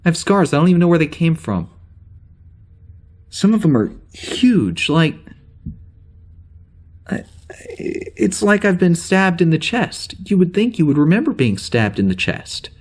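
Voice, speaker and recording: Troubled space officer doing his log, slow and halting, rambles, keeps cutting himself off, audio drama style. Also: fairly high pitch, very animated, a little mellow, exaggerated voice. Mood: agitated, bewildered. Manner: halting, rambling, self-interrupting. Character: troubled amnesiac male starship officer recording a log. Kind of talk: naturalistic audio drama monologue, spoken log.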